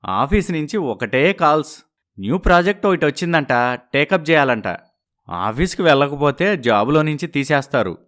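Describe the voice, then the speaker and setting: A man acts out an evasive young husband in a dramatic audio story. He is smooth-talking and persuasive, with a fairly high, animated voice and English words dropped in. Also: moderate pace. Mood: placating.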